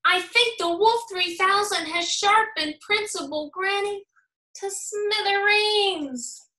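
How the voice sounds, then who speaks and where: A woman's voice: very high voice, slow pace, sharp tone, pitched above the performer's own voice, heavily acted. A woman voicing an earnest, timid but brave little girl pencil in a children's storybook. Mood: alarmed. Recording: roomy echo.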